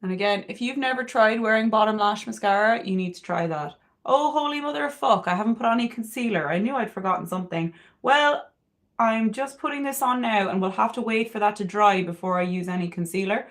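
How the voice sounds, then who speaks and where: A woman's voice: mid-range voice, a little fast. A young woman with a Cork Irish accent, chatting candidly and swearing freely while doing her makeup on a livestream. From Ireland, Cork. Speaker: beauty livestreamer. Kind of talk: casual makeup livestream chat.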